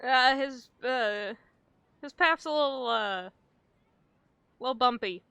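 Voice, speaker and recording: A woman's voice: sits high, slow pace, pushed and bright, clear, resonant tone, no echo. A young adult woman giving casual livestream game commentary, jokey, affectionate and slangy with some swearing. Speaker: streamer.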